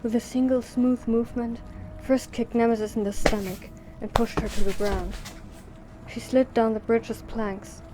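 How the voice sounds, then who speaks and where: A woman's voice: a little high, noisy background. A woman narrator reading a scripted story for an audio drama.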